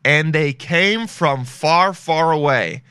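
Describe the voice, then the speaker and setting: A man's voice: fairly high pitch, slow, pushed and bright, clean tone, no echo. A young man hosting a casual podcast, rambling and joking as he browses shopping sites and reads reviews aloud. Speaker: podcast host.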